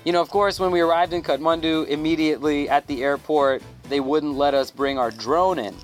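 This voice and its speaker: A man from Thailand telling a travel story in a loose, animated way as a podcast guest. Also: a little high, a little fast, faint music behind. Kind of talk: casual storytelling.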